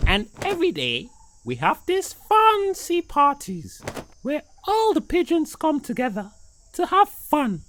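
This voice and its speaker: A woman voicing a plump pigeon in a children's cartoon, reluctant but obliging, with a drawn-out, expressive delivery. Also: high-pitched, a little hiss or hum, heavily acted. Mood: boastful, relishing.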